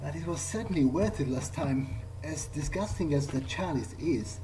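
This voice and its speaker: A man role-playing through a video game, thinking aloud in character in a low-key, hesitant way. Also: normal speed, background noise. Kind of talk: in-character musing during gameplay. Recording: music behind the voice.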